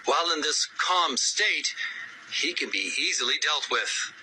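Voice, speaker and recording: A man's voice: a little high, animated, a little slow, sharp tone, a little hiss or hum, rough recording. A man's synthetic voice reading encyclopedic text aloud, with odd stresses and misreadings. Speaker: narrator. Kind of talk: scripted encyclopedic narration.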